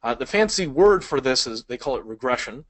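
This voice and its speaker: Man, 30s, casual online tutor, middle-pitched, loose and chatty delivery with fillers, headset mic. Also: lively intonation, fairly quick. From Chicago, United States. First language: Polish. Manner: casual, conversational teaching with frequent fillers. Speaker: speed reading instructor. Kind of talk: online video lesson.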